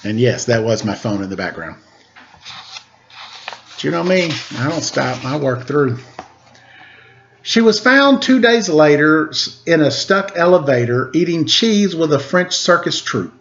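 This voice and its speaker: Expressive male storyteller, slightly high voice, dull audio. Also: unhurried, slight background noise. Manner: expressive, sing-song reading of rhymed verse. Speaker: storyteller reading a picture book to children. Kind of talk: read-aloud with chatty asides. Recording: dull lo-fi sound.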